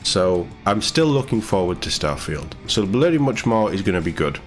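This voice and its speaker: A British man with a fairly low voice, talking as a gaming YouTuber. He reads news casually and adds sardonic, sweary commentary, with faint guitar music playing behind him. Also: big pitch swings, normal speed.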